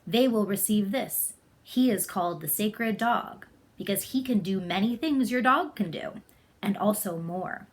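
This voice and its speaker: A woman's voice: medium pitch, animated, unhurried, close to an everyday voice. Woman voicing a solemn, benevolent sky spirit. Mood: solemn, reassuring.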